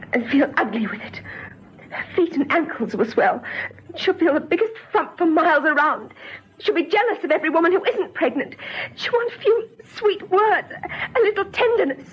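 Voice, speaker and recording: An actress playing a despairing young mother in an old radio drama, bitter yet gentle, speaking in an earnest, halting way. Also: high-pitched, lively intonation. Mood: tender, wistful. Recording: dull, faintly noisy old radio recording.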